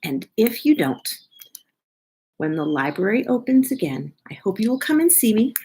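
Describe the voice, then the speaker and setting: A woman reading a picture book aloud to children in an expressive, deliberate way, as a children's librarian. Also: mid-range voice, breathy edge. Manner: animated, drawn-out, deliberate delivery.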